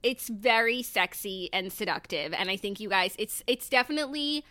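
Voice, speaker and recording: A woman's voice: medium pitch, lively intonation, normal speed, bright, forceful tone. A woman co-hosting a podcast in casual chat, earnest and enthusiastic. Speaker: podcast co-host.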